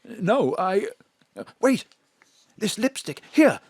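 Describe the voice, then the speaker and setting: A man's voice: high-pitched, very animated, slow pace, slightly rough, dry recording, clean studio sound, heavily acted. An actor playing a frightened male drag performer, with a theatrical, swooping storytelling manner. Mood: nervous. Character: male drag performer Bruno, also Mona, frightened fugitive. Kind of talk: audio drama acting. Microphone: home studio mic.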